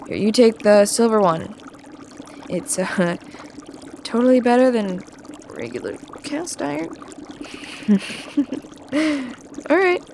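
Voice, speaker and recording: A woman's voice: on the high side, sing-song, takes it slowly, vocal fry, long pauses, a little mellow, slight background noise, full character voice. A woman voicing a mischievous male trickster witch who teaches potion-making in an audio roleplay. The witch snickers, teases and stumbles over hesitant fillers. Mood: playful, sly. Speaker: potion tutor.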